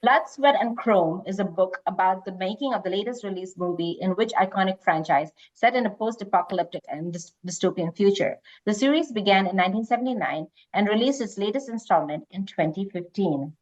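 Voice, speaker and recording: A woman's voice: mid-range voice, a little fast, hardly any pauses, highs cut off. A woman reading quiz questions and moderating casually as host.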